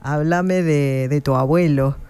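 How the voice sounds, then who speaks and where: A male interviewer with a smooth, resonant voice that sits a little high. He talks conversationally and keeps the guest going with short, attentive affirmations. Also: fairly even intonation, slow pace, darkish tone.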